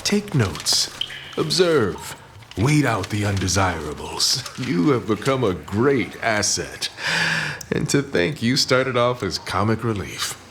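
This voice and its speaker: An actor playing a commanding, condescending boss in a radio play. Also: male voice, on the low side, very animated, slow, background noise. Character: domineering male boss.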